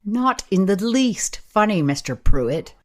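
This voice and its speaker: An actress playing a capable, deadpan camera operator with a sarcastic streak in a radio play. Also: female voice, mid-range voice, takes it slowly. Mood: dry. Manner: dry, sardonic.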